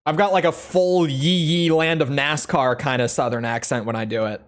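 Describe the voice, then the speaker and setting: A man giving playful let's play commentary, chatty and quick to laugh. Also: fairly high pitch, fairly even intonation. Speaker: video game streamer.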